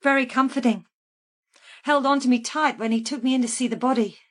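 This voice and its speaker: Cold, high-voiced female killer confessing. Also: fairly even intonation, brisk, a bit bright. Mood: matter-of-fact, reminiscing. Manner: matter-of-fact, unrepentant. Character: calculating female killer calmly confessing in a police interview. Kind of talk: naturalistic radio drama acting.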